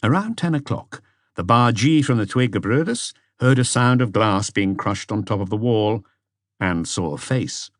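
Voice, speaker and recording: A man's voice: lowish voice, lively intonation, moderate pace, no echo, studio quality. A man reading a detective story aloud as scripted audiobook narration.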